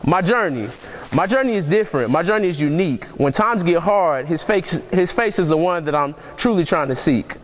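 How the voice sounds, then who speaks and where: A man's voice: fairly high pitch, animated, brisk. A young man giving an earnest, preaching-style motivational talk to a congregation, repeating and restarting his phrases as he builds emphasis. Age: young adult. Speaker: motivational speaker. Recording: echoey hall reverb.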